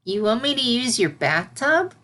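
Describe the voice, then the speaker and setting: A small unicorn filly from a storybook, voiced by a woman, pitched high. She is a timid, nervous young pupil who panics easily and is quick to apologize. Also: sing-song, bright, forceful tone. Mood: puzzled. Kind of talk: animated fan fiction character reading.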